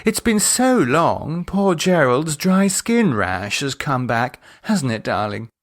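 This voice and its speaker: A man's voice: on the high side, very animated, takes it slowly, pitched above the performer's own voice. A man voicing a flirtatious, teasing upper-class wife in an audiobook, with a coy, gushing manner. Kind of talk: audiobook character acting.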